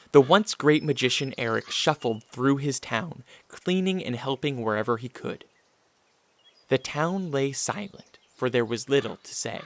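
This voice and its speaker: A man narrating a radio drama in a solemn, dramatic storyteller's style. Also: mid-range voice.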